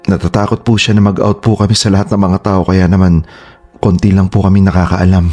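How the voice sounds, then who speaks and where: A gentle young man, voiced by a man in a radio drama, extremely deep, soft-spoken and polite. Faint background music plays under the voice. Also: little pitch movement, fairly quick. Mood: polite, earnest.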